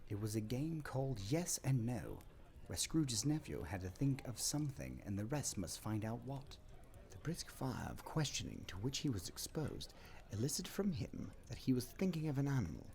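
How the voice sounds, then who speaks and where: A man narrating a classic tale from a script in a measured, theatrical storytelling way. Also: medium pitch, a little mellow.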